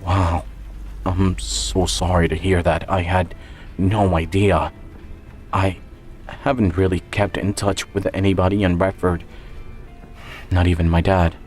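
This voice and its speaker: A man's voice: deep voice, level tone, a little slow, long pauses, darkish tone. Man playing troubled male surgeon, music beneath. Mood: concerned. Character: male New York surgeon, troubled, bewildered, trying to reassure.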